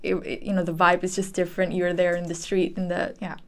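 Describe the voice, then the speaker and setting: A woman's voice: lowish voice, flat delivery, brisk, creaky. A nineteen-year-old woman from Indonesia with an Indonesian accent, chatting casually as a student guest on a podcast. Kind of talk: casual chat.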